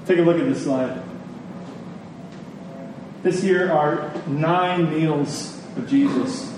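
Echoey, higher-voiced man preaching earnestly. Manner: earnest, emphatic, repeats key phrases with deliberate pauses. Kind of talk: sermon to a congregation. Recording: very echoey room, distant mic, faint noise.

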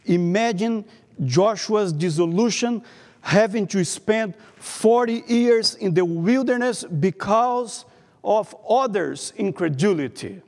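A man preaching a sermon in English with a Brazilian Portuguese accent, speaking carefully and pausing often as he reads from his notes. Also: sits high, animated, a little mellow. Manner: careful, halting delivery, reading from notes. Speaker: guest preacher. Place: church sanctuary. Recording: long reverb of a church hall.

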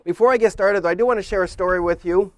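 A man's voice: sits high, very fast, no room sound. A middle-aged man giving a sermon, telling personal stories in a conversational way and voicing his own thoughts as he goes. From grew up in Indonesia. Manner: conversational, animated storyteller who acts out his own thoughts. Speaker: preacher, former missionary.

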